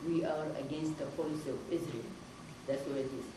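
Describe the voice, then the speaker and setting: A low-voiced woman from Palestine speaks as a panelist, halting and earnest, with many pauses and fillers. She sounds far from the mic in a very echoey theatre hall, with noise under her voice. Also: steady tone. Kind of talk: panel discussion statement.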